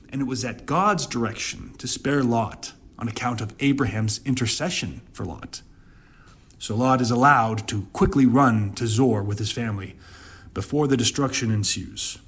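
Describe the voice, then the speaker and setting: A man delivering dramatic scripture reading and interpretive commentary, with emphatic storytelling and deliberate pauses. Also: medium pitch, a bit bright, breathy edge. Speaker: Bible commentator and narrator.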